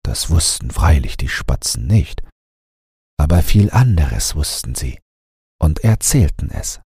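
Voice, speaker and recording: A man reading a bedtime story as an audiobook narrator, in a gentle, measured manner. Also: rumbling low, very animated, slightly rough, no echo, clean studio sound.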